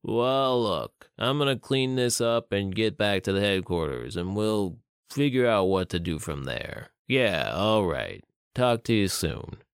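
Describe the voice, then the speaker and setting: A man's voice: on the low side, expressive, measured pace, clean tone, dry recording, studio quality. Man voicing a curt, drawling older crook. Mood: weary, annoyed. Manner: curt, swearing, commanding. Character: short bald older criminal fixer, gruff, businesslike.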